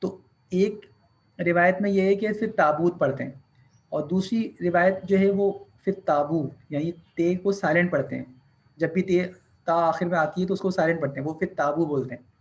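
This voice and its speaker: Higher-voiced male teacher dictating notes. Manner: mixes English dictation with Hindi-Urdu explanation, slow and deliberate when dictating. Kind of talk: classroom lecture, dictating notes. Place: classroom.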